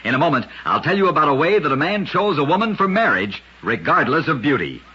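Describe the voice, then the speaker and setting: A man narrating short scripted stories in an old-time radio style, with an emphatic showman delivery and a husky voice. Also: on the high side, sing-song, fast talker, bright, forceful tone. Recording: dull, lo-fi old radio recording.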